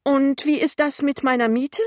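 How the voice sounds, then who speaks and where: A tipsy middle-aged mother acted by a woman reader in a literary audio drama, pitched high above the narration. She whines and complains with tearful indignation, pushing her demands loudly and self-pityingly. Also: fairly even intonation, normal speed, no room sound, clean studio sound, natural voice. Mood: angry demanding. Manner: whiny, complaining, insistent.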